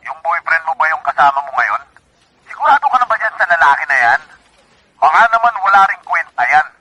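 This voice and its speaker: A man's voice: fairly high pitch, big pitch swings, brisk, pushed and bright, slight background noise, exaggerated voice, low-quality recording. A man with a Filipino accent playing a brazen, persistent former lover, heard through a telephone filter in a radio drama. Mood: scornful.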